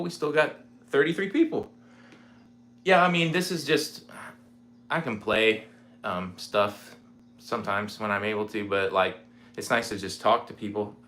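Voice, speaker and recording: A man in his forties chatting casually on a home livestream, rambling with lots of fillers, with a mellow voice. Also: fairly low pitch, measured pace, pauses often, a little hiss or hum. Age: 40s. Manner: rambling, relaxed, many fillers. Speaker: livestreaming guitarist. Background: guitar playing.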